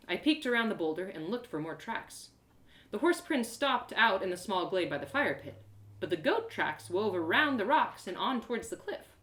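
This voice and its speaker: Woman playing an excitable young adventure heroine, roomy sound. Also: on the low side. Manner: theatrical, breathless exclamations, gasps, self-deprecating humour. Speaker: audio drama performer. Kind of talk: one-woman audio drama storytelling, first person.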